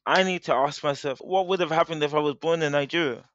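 Measured man explaining. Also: a little high, fairly bright tone, no room sound, lo-fi sound. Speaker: guest speaker. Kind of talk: interview, explaining at length.

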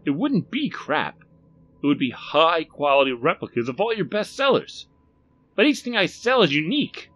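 Man putting on a smug, animated salesman. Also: a little high, normal speed, voice pushed up. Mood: pushy. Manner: slick, chummy, taunting. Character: Rodney, pushy smug salesman, mocking and needling. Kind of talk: audiobook character acting.

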